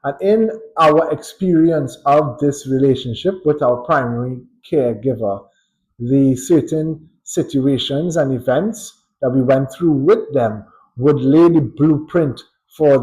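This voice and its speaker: A man with a Trinidadian accent, a psychologist giving a thoughtful, unhurried educational talk with pauses and light chuckles. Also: medium pitch, darkish tone, rough recording. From Trinidad and Tobago. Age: adult.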